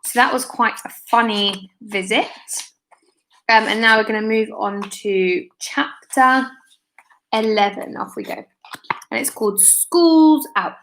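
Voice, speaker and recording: A woman reading a children's book aloud for an online storytime, expressive and lifting her voice for the characters. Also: fairly high pitch, a little slow, slight background noise, low-quality recording. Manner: expressive, lifts voice for character dialogue. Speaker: storytime reader.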